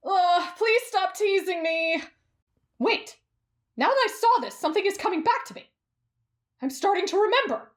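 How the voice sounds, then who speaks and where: Woman acting an earnest teenage anime boy, polite, hesitant, apologetic, high, lively pitch. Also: normal speed, long pauses. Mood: flustered. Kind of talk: anime roleplay ASMR acting.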